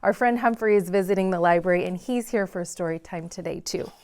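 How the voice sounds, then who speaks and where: A woman's voice: lowish voice, fairly quick, a little mellow. A woman hosting a story time in a library, reading a picture book aloud to children with dramatic emphasis on key words. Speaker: read-aloud host in a library.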